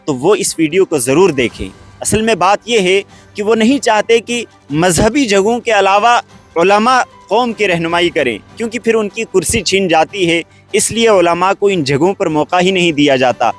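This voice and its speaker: A man hosting an online news update, reading scripted narration and commentary. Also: sits high. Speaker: host of an online news channel. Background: background music.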